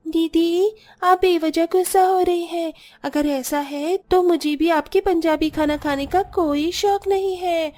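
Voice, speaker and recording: Woman doing a high-voiced, earnest Bengali young bride. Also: steady tone, unhurried, mellow. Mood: offended. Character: sweet, earnest young Bengali younger sister-in-law, holding her own.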